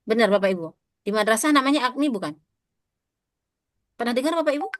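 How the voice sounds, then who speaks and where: A woman in her thirties with an Indonesian accent lectures a class of teachers. She keeps turning to her listeners with questions and fills her pauses with hesitations. Her voice is recorded close and dry, with no room sound. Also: a little high, expressive, no room sound. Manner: addresses audience often, asks questions, frequent fillers. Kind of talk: interactive teacher-training lecture.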